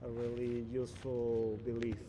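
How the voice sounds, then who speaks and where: A man's voice: medium pitch, completely flat delivery, moderate pace, noisy background. A man from Venezuela in his thirties with a Venezuelan Spanish accent, speaking English as a second language. He talks reflectively in a casual interview, laughs often and sometimes searches for the right English word. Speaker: guest.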